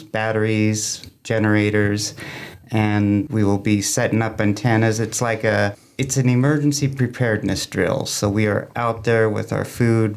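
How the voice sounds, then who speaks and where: A man with a gravelly, fry-laden voice talks as an interview guest. He explains things in a plain-spoken, folksy way and rambles a little as he goes. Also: fairly low pitch. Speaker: amateur radio club member, guest. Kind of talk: informal interview talk, explaining.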